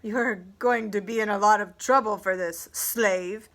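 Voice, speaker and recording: A woman's voice: fairly high pitch, normal speed, sharp tone. A woman voicing a gloating old male horsemaster, a smug and taunting storybook villain. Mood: menacing.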